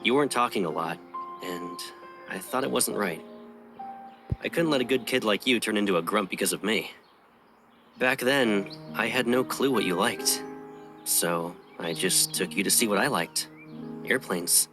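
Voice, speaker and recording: A man playing a teasing, protective older childhood friend, a young pilot in training, in dramatic romance game dialogue, with a playful, unhurried delivery. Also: on the low side, animated, natural voice. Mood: wistful, tender. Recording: music behind the voice.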